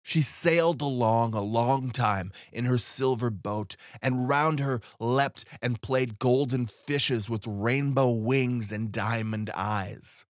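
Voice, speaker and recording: A man's voice: medium pitch, slow, few pauses, no room sound. Dry-sounding male fairy-tale narrator. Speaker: audiobook reader.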